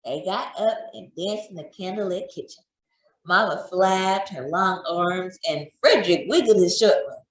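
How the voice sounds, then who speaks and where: A woman reading a children's story aloud in an expressive narrating style. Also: lowish voice, unhurried, husky.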